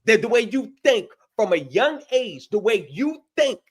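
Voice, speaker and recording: A man's voice: sits high, sing-song, unhurried, slightly rough. A man on a livestream panel, explaining his view in long, rambling sentences full of repeated phrases. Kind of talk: heated debate on a livestream panel.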